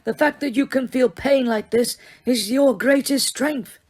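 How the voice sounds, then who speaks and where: A woman voicing a calm, quiet elderly male headmaster wizard in an audiobook, speaking in a raised, altered voice. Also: high-pitched, unhurried, pitched above the performer's own voice. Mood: serene. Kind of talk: audiobook character reading.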